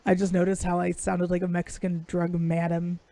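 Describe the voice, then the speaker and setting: Raspy-voiced woman telling stories. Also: on the low side, completely flat delivery, fairly quick, mellow, dry recording. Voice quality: raspy today. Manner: profane, storytelling. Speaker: podcast co-host. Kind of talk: casual chat.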